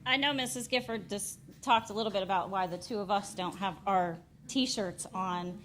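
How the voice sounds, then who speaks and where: A woman's voice: medium pitch, moderate pace, a bit bright, faint music behind. A middle-aged woman making informal introductory remarks at a public meeting. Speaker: speaker introducing an award presentation. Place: school board meeting room. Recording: faint background noise in meeting room.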